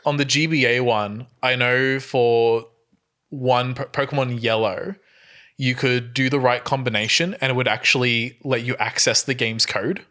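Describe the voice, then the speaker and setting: A man's voice: mid-range voice, steady tone, measured pace, bright, forceful tone. A man chatting casually as a player on a comedy game podcast, explaining things in a rambling way. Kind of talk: casual chat.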